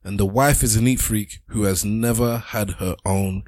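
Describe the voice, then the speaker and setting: A man hosting a casual podcast panel chat, reading listener questions aloud in a deliberate, provocative way. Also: lowish voice, fairly even intonation, a little mellow, dry recording.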